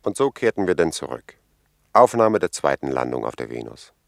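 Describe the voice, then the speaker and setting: A dutiful official dictating a log in a vintage radio drama. The voice is very low and gravelly, reporting in terse, sober, clipped phrases. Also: male voice, very animated, normal speed, dry recording, clean studio sound, exaggerated voice. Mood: sober, observant. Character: dutiful male official narrating his recorded mission log. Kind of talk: 1950s German radio play narration.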